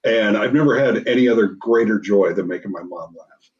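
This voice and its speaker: A man's voice: fairly low pitch, fairly quick. Distant, muffled man telling casual anecdotes. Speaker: voice actor.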